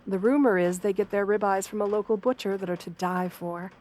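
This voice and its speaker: A woman playing a kind, professional wolf girl therapist in an ASMR roleplay, soft-spoken, gentle and measured in her reassurance. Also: mid-range voice, steady tone, lightly acted. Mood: caring, reassuring.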